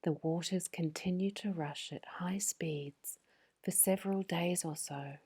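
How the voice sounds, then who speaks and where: Woman, breathy whisper, sleep meditation guide, very slow, low and mellow delivery. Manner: slow, soothing, gentle.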